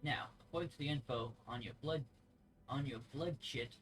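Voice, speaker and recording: A man's voice: medium pitch, normal speed, lightly acted, rough recording. A man reading aloud the game dialogue of a friendly, plain-spoken mercenary officer, conversational and stumbling over some words. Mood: businesslike. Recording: music playing behind the voice.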